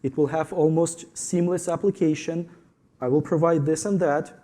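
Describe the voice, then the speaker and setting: Ukrainian-accented man, mellow voice. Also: fairly high pitch, fairly even intonation, moderate pace. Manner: earnest, storytelling with repeated restarts. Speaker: tile installer on a panel. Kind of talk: conference panel talk.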